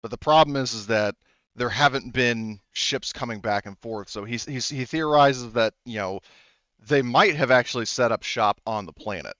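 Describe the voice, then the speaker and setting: Hesitant game master, a clear-voiced man. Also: mid-range voice, a bit bright, no room sound, dull audio. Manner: halting, rambling, self-correcting delivery. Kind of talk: tabletop game narration, improvised and conversational.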